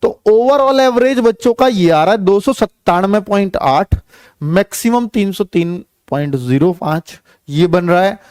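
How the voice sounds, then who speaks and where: A man's voice: high-pitched, a little slow, darkish tone, clear, resonant tone, no echo, clean studio sound. A man giving an informal explanatory lesson to students, repeating points and checking that they follow. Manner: explanatory, repetitive, checks listeners follow with tag questions. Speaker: exam coaching teacher.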